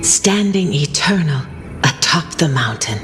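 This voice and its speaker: A mystical older fortune-teller in a fantasy game. Her voice is very low and unhurried, gentle and full of prophecy. Music plays underneath. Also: lively intonation. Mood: prophetic. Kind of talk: game voice acting.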